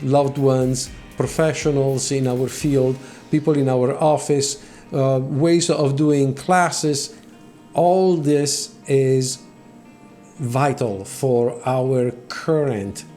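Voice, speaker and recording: Italian-accented middle-aged male host, earnest and direct, mid-pitched voice, faint music under him. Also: a little mellow. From Italy. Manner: earnest, with repeated words and restarts. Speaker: channel host. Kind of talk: talking to camera, motivational explainer.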